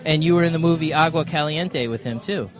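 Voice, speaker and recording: A man's voice: a little high, fairly quick. A man hosting a casual radio show, bantering with enthusiasm and joking along with his guest.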